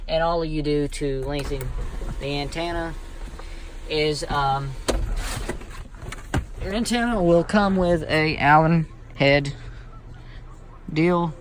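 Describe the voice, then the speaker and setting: A man with a Southern US accent talks slowly through an informal hands-on tutorial. His manner is folksy and rambling, like a homemade how-to host. He is recorded inside a vehicle, with faint noise behind his voice. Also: fairly high pitch, a bit bright.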